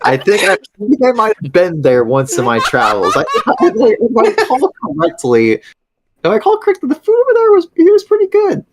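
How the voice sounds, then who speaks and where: Man doing a merry, high-lilting elf. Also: huge pitch swings, a little fast, noisy background. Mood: merry, eager. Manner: chatty, repeats words. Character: merry, easygoing green-skinned spring elf traveler, thirsty. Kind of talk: tabletop roleplay character acting.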